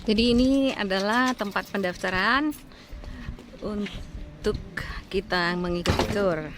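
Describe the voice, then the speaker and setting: A man's voice: sits high, a little slow, music in the background. A man who speaks Indonesian natively, visiting on a tour and giving short polite replies.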